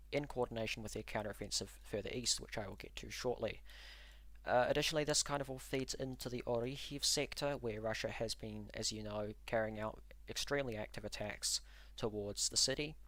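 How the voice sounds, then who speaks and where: A man hosting a live stream, walking through frontline maps and answering chat in an analytical, measured way with frequent ums and uhs. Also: lowish voice, a bit bright, no echo. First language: English. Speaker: livestream host and war mapper.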